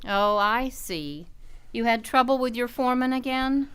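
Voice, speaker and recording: A woman playing a patient, gently chiding housewife and mother in an old-time radio comedy. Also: a little high, unhurried, smooth. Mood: chiding, soothing.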